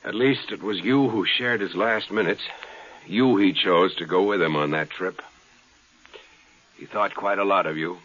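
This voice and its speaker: Forceful, low-voiced, husky space adventurer. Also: male voice, a little slow. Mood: insistent. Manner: forceful, earnest, probing. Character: hearty, insistent young space explorer, proud son. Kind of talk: 1950s radio drama acting. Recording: dull, lo-fi 1950s radio recording.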